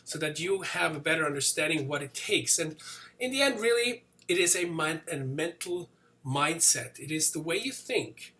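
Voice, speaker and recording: A man in his forties with a light Swiss accent talks straight to camera about business, rambling casually and leaning on fillers as he goes. His voice sits a bit high for a man and comes across bright and forceful. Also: lively intonation, normal speed. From Switzerland. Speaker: business coach. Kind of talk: motivational talk to camera.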